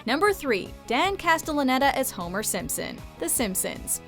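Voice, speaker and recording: A woman narrates a countdown in a fairly high voice. Her scripted delivery is very animated, with light music running underneath. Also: moderate pace, gravelly.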